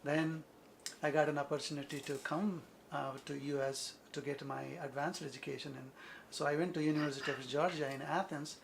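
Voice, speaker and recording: Higher-voiced Indian-accented man, faint noise. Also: steady tone, brisk, creaky. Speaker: interview guest, agricultural scientist. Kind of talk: conversational interview answers.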